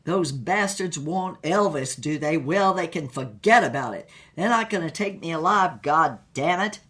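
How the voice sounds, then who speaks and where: A man's voice: fairly high pitch, animated, a little slow, sharp tone. Swaggering young rock idol acted by a storyteller, male, folksy, brash, for an audio drama. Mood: defiant. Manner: folksy, refers to himself in third person. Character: swaggering young male Southern rock-and-roll movie star.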